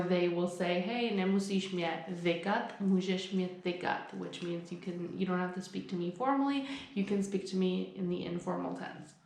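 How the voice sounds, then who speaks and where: A young woman talking casually to camera in an upbeat, chatty way, explaining things with examples. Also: mid-range voice. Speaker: vlogger. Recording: echoey room.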